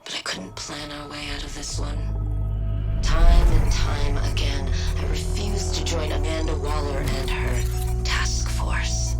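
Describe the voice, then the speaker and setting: Game villain: icy brilliant scientist, played by a woman, low quiet melancholic monologue, set back from the mic. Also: far from the mic, close to an everyday voice. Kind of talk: video game cutscene monologue.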